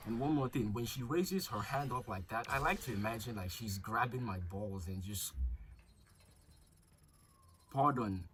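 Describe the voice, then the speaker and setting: Young man, slightly low voice, a bit sick with flu, chatty gameplay commentary, crude jokes. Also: fairly bright tone. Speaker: mobile game YouTuber.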